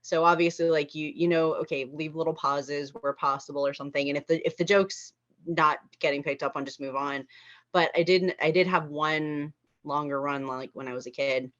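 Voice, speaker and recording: Low-pitched woman chatting, dull lo-fi sound. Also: fairly even intonation, normal speed, a bit bright. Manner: giggly, laughs while talking. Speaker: table read cast member. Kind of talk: casual group discussion. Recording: dull, lo-fi audio.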